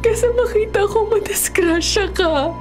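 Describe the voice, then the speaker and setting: An anguished Filipino wife in a radio drama. Her voice is high and emotional as she implores her husband. Faint music sits behind her. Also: unhurried. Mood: tearful, desperate. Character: anguished, pleading wife of a hitman, adult woman.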